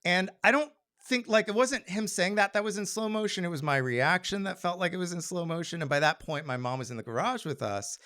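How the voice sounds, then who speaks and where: A man telling a personal story on stage in an animated, conversational way with comic timing. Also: high voice, fairly quick, few pauses. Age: adult.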